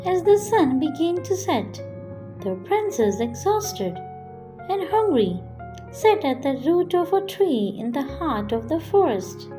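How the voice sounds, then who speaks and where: A woman with a high voice narrates a children's bedtime story. Her delivery is slow and very melodic, following a script, with music playing underneath. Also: very animated.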